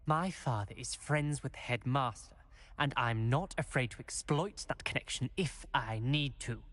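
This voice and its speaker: A man voicing a suspicious, haughty teenage wizard schoolboy in a video game, with a British accent, a husky voice and a sharp, accusing manner. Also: mid-range voice, normal speed, lightly acted. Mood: angry, threatening. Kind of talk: scripted video game dialogue. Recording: long echoing reverb.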